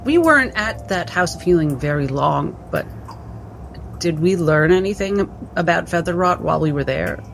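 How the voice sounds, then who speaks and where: A woman's voice: on the low side, animated, normal speed, creaky, soft background music. A woman talking casually as a player in a tabletop roleplaying game.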